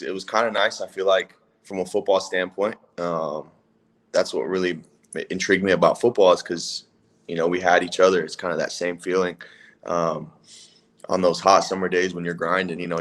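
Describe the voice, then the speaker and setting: A young man from California with a very low, deep voice, speaking as an interview guest. He talks in a relaxed, rambling way, pausing on fillers and often adding "if that makes sense.". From Palo Alto area, California. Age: 20s. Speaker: guest, football player. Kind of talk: casual interview.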